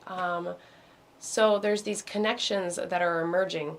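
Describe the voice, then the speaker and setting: A woman hosting an online astrology meditation, talking casually with many ums and restarts, her voice going hoarse. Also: fairly low pitch, steady tone, measured pace. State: losing her voice. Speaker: meditation host. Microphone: video call.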